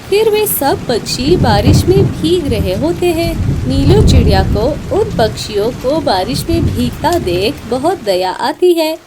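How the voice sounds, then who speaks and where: A woman's voice: high-pitched, very noisy. A woman reading scripted narration for a children's cartoon story.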